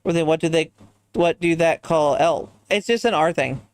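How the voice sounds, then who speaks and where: A man's voice: a little high, moderate pace, dry recording. A man streaming a game in casual chat, rambling and reacting to viewers with goofy asides. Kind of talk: casual chat while gaming.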